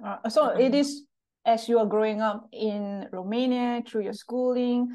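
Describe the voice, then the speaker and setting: A woman's voice: fairly high pitch, fairly even intonation, moderate pace, mellow. A woman from Singapore hosting an interview over a video call on a headset, asking questions and restating the guest's answers to check them. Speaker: interview host. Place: room with bookshelves, video call.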